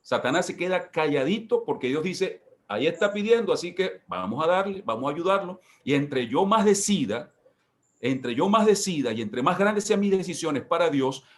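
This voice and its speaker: A man teaching as a pastor in an informal sermon style, emphatic and given to repeating key phrases. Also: mid-range voice. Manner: repetitive, emphatic, with rhetorical repetition. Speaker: pastor leading a church workshop.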